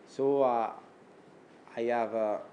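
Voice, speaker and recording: Man from France, native French speaker, interviewee; hesitant with many fillers, warm dark tone. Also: medium pitch, steady tone, highs cut off, slight background noise. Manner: halting, many fillers and restarts. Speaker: fighter being interviewed. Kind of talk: interview answers in second language.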